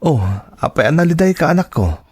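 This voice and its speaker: A man with an Ilocano accent acting a gentle, devoted father in an audio drama, tender and reassuring. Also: a little high, sing-song, brisk, breathy edge, full character voice. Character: gentle, devoted father of young children, wife working abroad.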